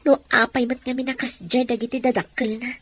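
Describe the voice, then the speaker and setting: A woman's voice: on the high side, flat delivery, fairly quick, a bit bright, husky. A woman playing a worried, caring young female friend in an audio drama, earnest and anxious.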